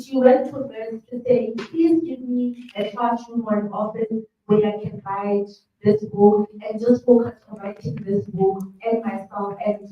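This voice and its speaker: A woman with a soft voice, a panelist summarizing a book in a measured, hesitant way with frequent ums. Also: on the high side, dull audio. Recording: echoey room, distant mic, faint hum.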